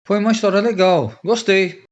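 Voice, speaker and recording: A man's voice: sits high, moderate pace, close to an everyday voice. A man with a Brazilian accent playing a blunt, slangy middle-aged friend in a radio drama, in an echoey, muffled recording. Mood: dismissive. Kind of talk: radio drama acting, casual bar chat. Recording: echoey, dull muffled room sound.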